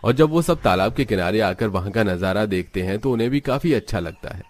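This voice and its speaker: Low-voiced man narrating a story. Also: expressive, brisk. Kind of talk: scripted cartoon story narration.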